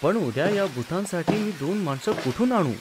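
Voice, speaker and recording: A man's voice: sits high, lively intonation, brisk, very noisy. A man playing an anxious, frightened young son in a horror story, speaking urgently and dramatically. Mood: suspicious, anxious. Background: loud background music.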